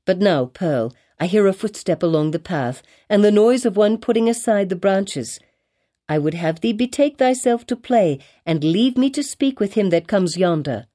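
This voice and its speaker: A grave, weary mother acted by a woman reader, earnest and commanding with her child. Her tone is soft-edged and her phrasing is that of an old storybook. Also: mid-range voice, animated, moderate pace, close to an everyday voice. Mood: grave, firm. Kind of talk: dramatized audiobook reading in archaic English.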